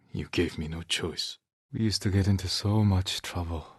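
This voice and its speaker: An actor playing a stoic, honorable samurai warrior in a video game, with restrained, solemn, reflective delivery and a soft-edged husky voice. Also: male voice, sits low, a little slow, no room sound, clean studio sound. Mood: wistful. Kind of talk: dramatic video game acting, reflective monologue.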